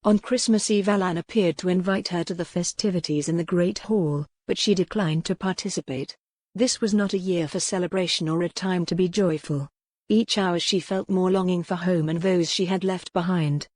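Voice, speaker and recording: Low-voiced woman narrating a historical romance audiobook. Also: fairly even intonation, moderate pace, darkish tone, no echo, studio quality.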